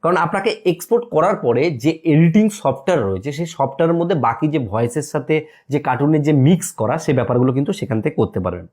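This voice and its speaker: A man's voice: on the high side, lively intonation, very fast, a little mellow. A man presenting a step-by-step screen tutorial in an instructional way, often asking a question and then answering it himself.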